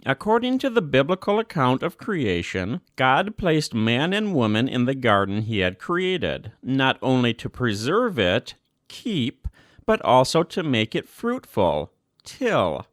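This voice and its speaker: Guy reading a church document out loud, pitch moves around a lot, measured phrasing, really dry close sound. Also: sing-song, dry recording. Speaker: reader. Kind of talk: scripted reading of a religious document.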